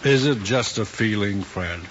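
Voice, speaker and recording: An actor playing an ambitious, anxious middle-aged father in an old screen drama, speaking in a halting, earnest way. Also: lowish voice, a bit bright. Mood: stern, probing. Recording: dull old film soundtrack, faint hiss.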